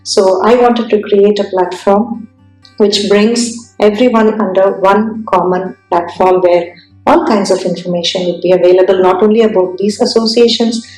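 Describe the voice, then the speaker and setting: A woman from India with an Indian accent, telling her career story in an interview, speaking thoughtfully with many pauses and restarts. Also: medium pitch, fairly even intonation, darkish tone, roomy sound, soft background music, low-quality recording. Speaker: entrepreneur guest.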